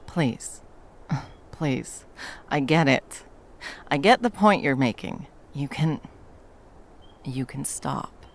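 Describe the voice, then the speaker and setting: A woman's voice: very deep voice, lively intonation, takes it slowly, long pauses, full character voice. A woman voicing a centuries-old female monster hunter in an ASMR roleplay. She talks bluntly and swears a lot, then drops into tender murmurs. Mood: soft, tender.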